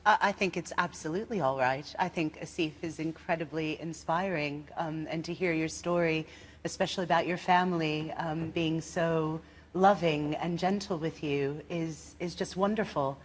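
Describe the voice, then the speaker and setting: A woman's voice: low-pitched, steady tone, normal speed, big-room sound. A woman speaking as a panel guest in a televised debate, upbeat and affirming.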